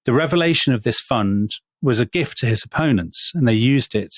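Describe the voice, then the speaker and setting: A man's voice: mid-range voice, animated, fairly quick, no room sound, studio quality. A man co-hosting a casual history podcast, telling a story with plenty of asides and laughter. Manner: chatty, storytelling, laughs often. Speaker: podcast co-host.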